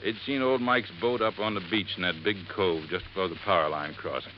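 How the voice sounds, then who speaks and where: An actor playing a folksy, earnest boat-landing owner in 1950s radio drama, telling things in a plain, halting, emphatic way. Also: male voice, low voice, natural voice. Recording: dull, noisy vintage radio recording.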